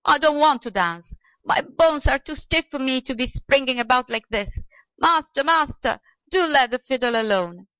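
Woman voicing a high-pitched, stingy old man. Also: expressive, normal speed, a bit bright, no echo, lightly acted, poor audio. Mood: pleading, alarmed. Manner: exclaiming, pleading. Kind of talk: storybook character voice.

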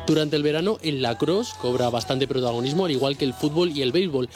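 A man's voice: a little high, fast. A man reading a scripted sports feature for radio. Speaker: radio reporter. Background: soft music bed.

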